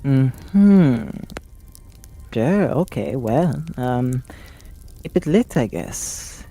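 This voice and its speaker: Tabletop roleplayer, mid-pitched voice, slow pace, casual, sweary, improvised narration. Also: animated, dark tone. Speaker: player in a tabletop roleplaying game.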